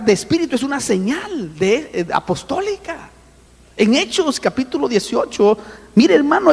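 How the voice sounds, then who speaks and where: A man preaching a sermon with an animated manner, often calling out to his listeners as brother. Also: very high voice, husky, long reverb tail. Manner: animated, addresses listeners as brother, acts out voices. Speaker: apostle preaching.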